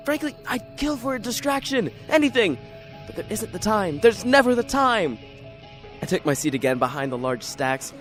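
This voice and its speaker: A man's voice: sits high, big pitch swings, moderate pace, fairly bright tone, faint music behind. A man playing a lonely, neurotic, paranoid writer in an introspective, theatrical radio drama monologue. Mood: paranoid, restless, then wistful.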